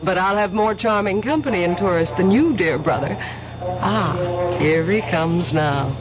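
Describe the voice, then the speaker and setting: An actress playing an earnest, gentle young woman in a radio drama. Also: on the low side, a little slow, crowd noise, close to an everyday voice. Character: earnest young woman, conflicted but warm. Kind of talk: 1970s radio drama acting. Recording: lo-fi old radio recording with faint hiss.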